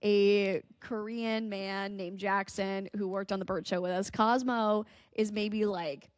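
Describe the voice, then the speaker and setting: A woman's voice: mid-range voice, fairly even intonation, takes it slowly, clear, resonant tone, no echo, clean studio sound. Woman podcast host in casual chat.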